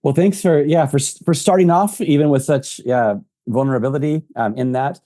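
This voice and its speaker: Host guy asking questions, warm lowish voice, kinda halting, dry close sound. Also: dry recording. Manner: halting, with fillers and repeated words. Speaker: interview host. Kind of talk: interview questions.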